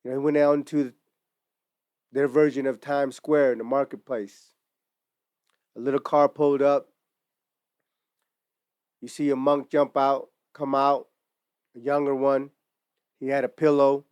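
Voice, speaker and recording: Earnest man, smooth voice. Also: mid-range voice, flat delivery, a little slow, pauses often, darkish tone, no echo, lo-fi sound. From Utah, United States. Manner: reflective, casual street slang, storytelling. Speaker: merch brand founder. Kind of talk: earnest personal monologue to camera. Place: indoors in front of merchandise display.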